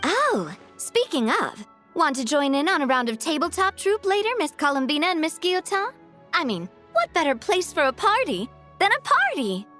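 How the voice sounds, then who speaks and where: A woman's voice: high voice, sing-song, moderate pace, fairly bright tone, soft background music. A woman voicing a bubbly, eager young woman in a game who giggles often. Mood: cheerful. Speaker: game character.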